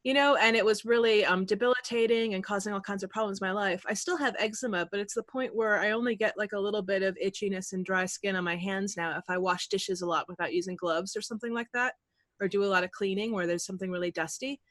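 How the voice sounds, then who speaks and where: A woman's voice: medium pitch, quick pace. A woman reads listener questions aloud and adds her own thoughts in an easygoing, conversational tone. The sound is dry and close, with no room echo. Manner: relaxed, conversational, uses fillers. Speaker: co-host reading questions. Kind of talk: reading questions, casual chat.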